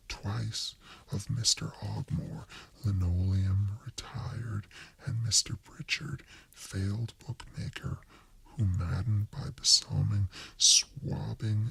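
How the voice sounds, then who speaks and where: A man whispers a dramatic literary reading for ASMR. His voice is low and very husky, with a gravelly edge. He takes each phrase slowly and deliberately. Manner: slow, deliberate, expressive. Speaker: reader of a radio play.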